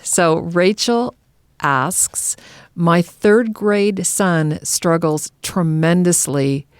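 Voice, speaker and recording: A woman's voice: lowish voice, drawn-out words, clean tone, dry recording, studio quality. A middle-aged woman co-hosting a podcast in a conversational style, sometimes reading aloud. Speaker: podcast co-host.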